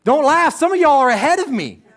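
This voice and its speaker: High-voiced pastor preaching conversationally. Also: male voice, very animated, a little fast, fairly bright tone. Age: 30s. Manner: conversational, joking with the congregation. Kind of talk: sermon. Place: church stage.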